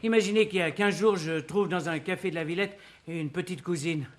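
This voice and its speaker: An actor playing a good-natured, excitable working-class sidekick, colloquial and exclamatory, in radio-play style. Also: male voice, sits high, fairly quick, close to an everyday voice. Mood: agitated, worried. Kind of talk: French radio-play acting.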